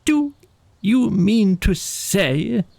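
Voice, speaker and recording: Storybook water rat, male: bossy, exasperated, raised pitch, close dry sound man performing. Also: animated, very slow, vocal fry, a little mellow, no room sound, full character voice. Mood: incredulous, excited. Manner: urgent, commanding, impatient. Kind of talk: animal character in a children's storybook.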